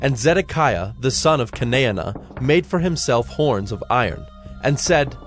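Male narrator, scripted Bible reading, middle pitch, formal, measured, music behind. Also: lively intonation.

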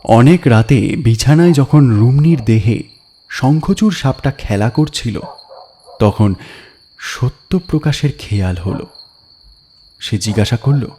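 Male story narrator with a mellow voice. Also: medium pitch, expressive, slow pace, long pauses, slight background noise. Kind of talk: dramatic scripted story narration. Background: background music.

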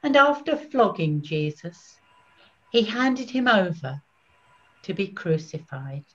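A woman's voice: mid-range voice, very animated. An older woman narrating scripture solemnly from a script, phrase by phrase, over a video call at home. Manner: measured, phrase-by-phrase reading. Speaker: narrator, Evangelist. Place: small room at home. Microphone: computer video call. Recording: dull lo-fi video-call audio.

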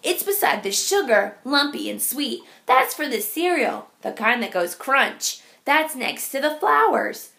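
Slow, high-voiced woman reading to children. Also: very animated, gravelly, sharp tone. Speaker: teacher reading aloud. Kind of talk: picture-book read-aloud for young children.

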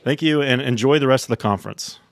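A man's voice: medium pitch, fast talker, vocal fry, very noisy. A man, giving a keynote product presentation at a tech conference. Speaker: company co-founder giving keynote. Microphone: microphone close in front of mouth.

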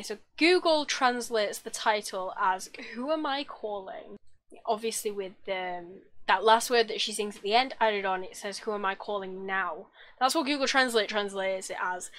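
Young woman, reaction host, bright gushing chatter, desk mic at home. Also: medium pitch. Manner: chatty, gushing, self-correcting restarts. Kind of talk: casual reaction commentary. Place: small home room indoors. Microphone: desk microphone, wearing headphones.

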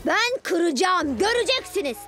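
Woman voicing a boastful, high-voiced boy ninja villain. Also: very animated, a little slow, a bit bright, exaggerated voice. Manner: boastful, taunting, commanding. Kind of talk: cartoon villain dub acting. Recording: music under the voice.

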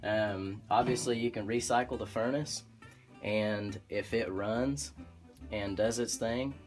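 Low-voiced Oklahoma furnace technician. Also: male voice, slow, faint music behind. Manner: relaxed and practical, many "um"s and restarts. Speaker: HVAC technician. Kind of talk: instructional how-to explanation. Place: indoor utility closet by a furnace.

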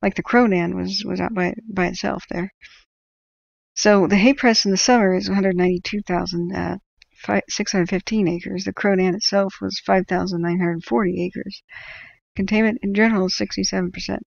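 A woman's voice: medium pitch, fairly even intonation, moderate pace, vocal fry, no echo, lo-fi sound. A woman with an American accent reading updates informally on a livestream, chattily correcting herself and adding side comments. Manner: chatty, self-correcting, interjects small reactions. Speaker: livestream host tracking wildfires.

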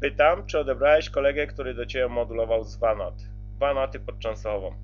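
A man's voice: medium pitch, fast, dull audio, faint music behind. A man chatting casually as a CB radio operator, passing the conversation between stations. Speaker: CB radio operator relaying calls.

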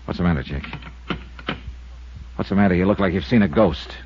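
An American actor playing a brisk, tough insurance investigator in a 1950s radio serial, clipped and punchy. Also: male voice, low voice, noisy background, close to an everyday voice. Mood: intent. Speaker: star of a radio detective serial. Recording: muffled 1950s radio recording.

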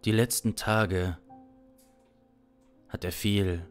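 A man in his thirties narrating an improvised audio drama deliberately, with long pauses, close on a studio microphone. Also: on the low side, fairly even intonation, soft background music. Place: small indoor studio. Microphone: close studio microphone with pop filter. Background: musical backing.

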